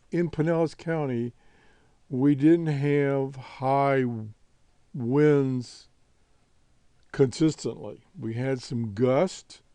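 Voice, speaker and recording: An American man hosting a casual, unscripted hobby show update in a halting, laid-back, rambling way. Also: medium pitch, mellow, dry recording. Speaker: host of a radio hobby show.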